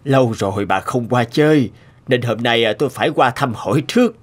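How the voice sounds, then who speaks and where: A man playing a gruff, brusque old scientist in a Vietnamese-style moral cartoon dub. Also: mid-range voice, brisk, faint music behind, close to an everyday voice. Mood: apologetic. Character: gruff old male scientist, blunt but ready to apologise. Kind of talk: animated moral tale dubbing.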